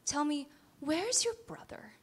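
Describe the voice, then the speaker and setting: A woman's voice: sits high, expressive, a little slow, pitched above the performer's own voice. A woman doing a creepy taunting strangler man. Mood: menacing.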